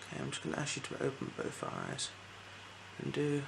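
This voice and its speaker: A soft-spoken person performing an ASMR eye exam roleplay as an optician, giving gentle instructions with hesitant pauses. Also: medium pitch, huge pitch swings, gravelly, noisy background.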